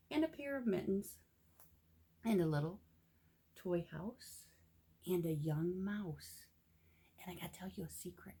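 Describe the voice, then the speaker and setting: Mellow, low-pitched woman reading to children. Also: breathy edge. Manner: gentle, expressive, often drops into hushed whispered asides. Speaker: children's picture-book reader, teacher-like. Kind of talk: read-aloud storytelling for young children with asides.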